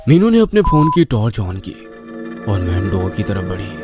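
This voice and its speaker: Male narrator, low voice, very animated intonation, dramatic horror storytelling, music clearly behind. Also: normal speed. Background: clear background music.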